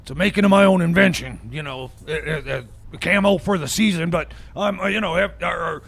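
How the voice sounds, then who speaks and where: A man acts out a paranoid, blustering mechanic in a radio comedy bit. His voice sits high and crackles with gravelly fry over a husky rasp. He stammers, repeats himself and rants as if under siege. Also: fairly quick, fairly bright tone, full character voice. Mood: gruff, agitated. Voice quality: raspy, gravelly. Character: ranting paranoid holiday culture-warrior mechanic. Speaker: time machine mechanic character on a radio show. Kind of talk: improvised radio comedy skit.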